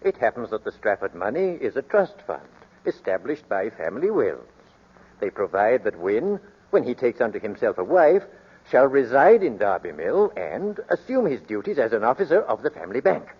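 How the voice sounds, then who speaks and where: An actor playing a stern, stuffy old Quaker banker uncle with formal, archaic speech in a 1940s radio drama. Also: male voice, medium pitch, big pitch swings, normal speed, husky. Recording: muffled 1940s radio broadcast, reverberant hall.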